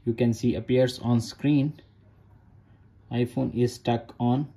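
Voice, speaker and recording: A man's voice: mid-range voice, steady tone, takes it slowly, mellow. A man narrating a phone tutorial in short, repeated step-by-step instructions in non-native English. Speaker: tech tutorial host.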